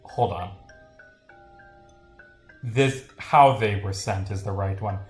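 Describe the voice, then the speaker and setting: A man casually commentating on a livestream as a game developer, rambling and thinking out loud as he reads over his script. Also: lowish voice, slow. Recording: roomy, echoing sound with music.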